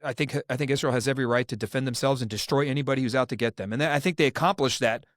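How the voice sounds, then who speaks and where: Talky male podcast host with an average-pitched voice and strong opinions, recorded in a dry, close room. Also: very fast, dry recording. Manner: opinionated, rambling, many restarts. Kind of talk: casual political discussion.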